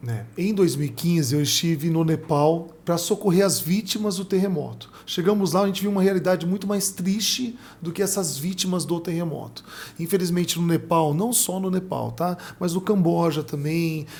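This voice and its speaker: A Brazilian man telling lively stories as a missionary guest in a casual interview. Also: a little high, normal speed. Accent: Brazilian Portuguese. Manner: animated, vivid storyteller.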